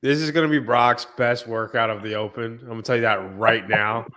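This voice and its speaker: A man's voice: medium pitch, steady tone, fairly quick, sharp tone. A man hosting a casual podcast chat, slightly nasal and congested from a cold, teasing and coaching his friends. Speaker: podcast host.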